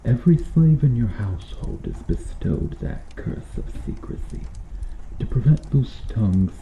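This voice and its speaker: A man voicing a cruel, bitter medieval knight in a slow-burn ASMR roleplay, speaking with brooding, deliberate menace. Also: medium pitch, big pitch swings, roomy sound, dull audio, slight background noise. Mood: grave, probing. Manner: brooding, menacing, deliberate delivery with dropping hushed phrases.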